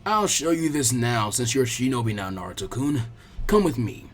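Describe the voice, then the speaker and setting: A man voicing an aged village leader, kindly but gently stern, in measured grandfatherly tones for a fan fiction audiobook. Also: medium pitch, big pitch swings, slightly rough, pitched below the performer's own voice. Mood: patient, instructive.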